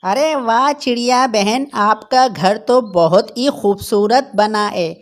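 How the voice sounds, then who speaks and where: Slow-talking, loyal pigeon voiced by a woman. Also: fairly high pitch. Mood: friendly. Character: loyal, protective male bird friend, a pigeon. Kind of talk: Hindi moral-story cartoon dubbing.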